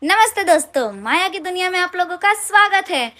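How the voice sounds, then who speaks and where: Hoarse, high-voiced woman vlogger. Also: animated, fairly quick, pushed and bright. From Uttar Pradesh, India. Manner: chatty, addresses viewers as guys. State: hoarse, sore throat and coughing. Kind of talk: casual vlog to camera. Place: outdoors at a crowded temple and at home.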